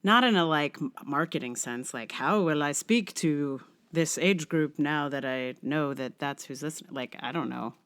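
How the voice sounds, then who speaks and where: A middle-aged woman talks alone as a podcast host. Her voice sits low for a woman, with a clean, resonant tone. She comes across as wry and reflective, often turning questions back on herself. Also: moderate pace, few pauses, fairly bright tone. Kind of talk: scripted essay reading, then casual rambling solo podcast talk.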